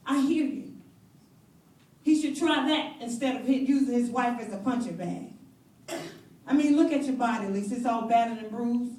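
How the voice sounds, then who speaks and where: A woman's voice: a little high, darkish tone, lightly acted. A woman acting in a stage play as a blunt, sassy, protective best friend, with emphatic, sardonic delivery. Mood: indignant. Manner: emphatic, drawn-out, sardonic, preacherly flourishes. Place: stage in a roomy hall. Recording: echoey hall from far off.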